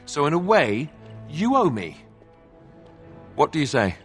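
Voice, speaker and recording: A man's voice: fairly high pitch, sing-song, measured pace, faint music behind. A man voicing a young, self-doubting heir to a throne in a fantasy video game, earnest and wry, with a conversational, hesitant way of talking. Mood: wry, reflective.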